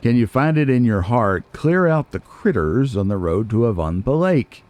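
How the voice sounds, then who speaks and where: A man's voice: mid-range voice, big pitch swings, normal speed, a little mellow. A man giving casual let's play commentary on a video game, musing to himself and poking fun at himself. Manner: musing to himself, self-deprecating. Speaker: gaming video host, let's player.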